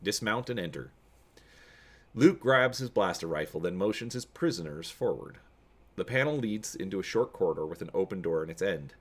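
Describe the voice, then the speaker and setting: A man giving a dramatic narrated reading of an adventure story, expressive in his storytelling. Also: low-pitched, brisk. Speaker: reader and host of a gamebook read-through.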